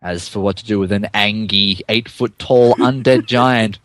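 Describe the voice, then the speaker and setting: A man's voice: lowish voice, steady tone, a little slow, a bit bright, dry recording. A man co-hosting and narrating a comic dramatic reading, mock-dramatic and often stumbling over his words. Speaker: podcast co-host and narrator.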